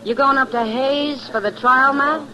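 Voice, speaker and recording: A woman's voice: high voice, steady tone, measured pace, crowd noise. A woman acting a wry, teasing saloon woman in a 1950s western radio drama, dry in manner. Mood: wry, probing. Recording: muffled old radio recording.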